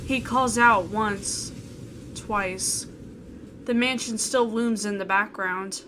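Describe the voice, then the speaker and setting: Woman narrating a visual novel, expressive, chats a bit, noisy ambience behind her. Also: medium pitch, takes it slowly. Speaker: gaming video host. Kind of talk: reading a visual novel aloud with casual reactions. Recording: clear background noise and ambience.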